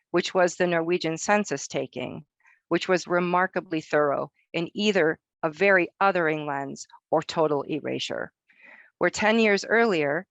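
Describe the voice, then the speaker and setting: A woman from Northern Norway, a researcher giving a prepared academic presentation, reading her text in a measured way. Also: low voice, a bit bright, no room sound.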